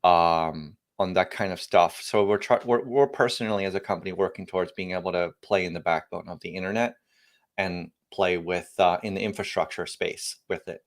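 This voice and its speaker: A man's voice: low-pitched, fairly even intonation, moderate pace. A man, a company founder and guest on a podcast interview, talking through technical topics in a rambling way with frequent restarts and emphatic bursts.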